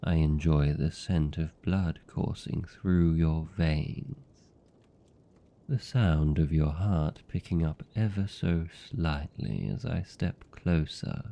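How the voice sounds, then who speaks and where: A man with a British accent playing a seductive, possessive vampire captor in an intimate ASMR roleplay, hushed and deliberate, tender yet menacing. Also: exaggerated voice. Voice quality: gravelly, dark, low. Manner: slow, hushed, deliberate, teasing.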